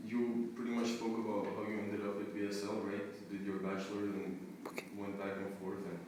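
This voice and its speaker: A man's voice: lowish voice, level tone, moderate pace, a little mellow. A young man interviewing a staff member for a student live stream, asking friendly questions with many hesitations. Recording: roomy echo, distant mic, noisy background.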